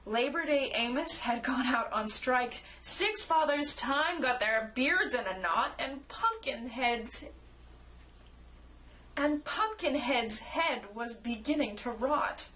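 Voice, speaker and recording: A woman narrating a rhymed children's story in an expressive, theatrical way. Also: high voice, takes it slowly, low-quality recording. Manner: theatrical, acts out character lines.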